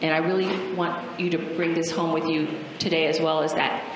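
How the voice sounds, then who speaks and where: A Cuban-born woman, native in Spanish, speaks emphatically as a panelist, putting questions to her listeners. Her voice is a little low. The big hall adds heavy reverb and faint noise. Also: flat delivery, normal speed. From Cuba, moved to the United States. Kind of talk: personal story to an audience. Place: large auditorium stage. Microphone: handheld microphone.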